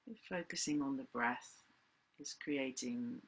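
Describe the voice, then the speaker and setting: A woman leading a guided meditation as a teacher, speaking in gentle, deliberate phrases with long pauses. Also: rumbling low, slightly rough, low-quality recording. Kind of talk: guided meditation, unscripted spoken instruction.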